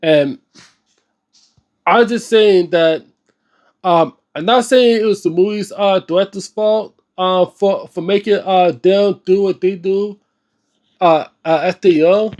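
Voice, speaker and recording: High-pitched male vlogger, halting and repetitive, earnest casual commentary with slangy emphasis. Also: darkish tone. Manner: halting, repetitive, searching for words. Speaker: host of a book reading and discussion series. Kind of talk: unscripted casual video commentary.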